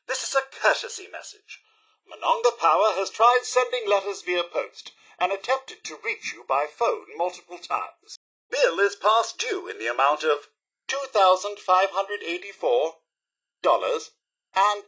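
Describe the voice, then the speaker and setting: A man's voice: high voice, very animated, unhurried, sharp tone, husky, full character voice. A male actor voicing a polite, fussy robot butler in a video game, with a British accent and a formal, theatrical delivery. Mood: formal, courteous. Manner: formal, overly courteous, theatrical.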